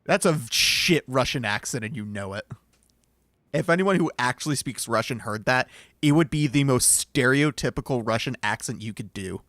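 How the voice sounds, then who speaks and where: A man's voice: medium pitch, expressive, normal speed, fairly bright tone, no echo. Man, streamer, laid-back chatter with laughs and swearing, dead-dry sound. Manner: reads game lines aloud, laughs and swears. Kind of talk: casual chat over a game.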